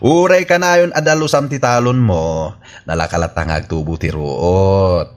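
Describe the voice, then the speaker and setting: An actor with an Ilocano accent playing a needling, skeptical farmer friend in a radio comedy drama. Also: male voice, low voice, a little slow. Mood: mocking, needling.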